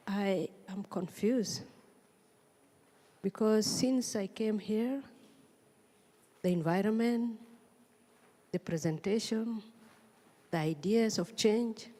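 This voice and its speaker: A woman from South Sudan, an audience member, asks a panel an earnest, pleading question with pauses and fillers. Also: mid-range voice, dark tone. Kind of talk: spontaneous public question at a forum. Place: large conference hall. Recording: long reverb of a conference hall.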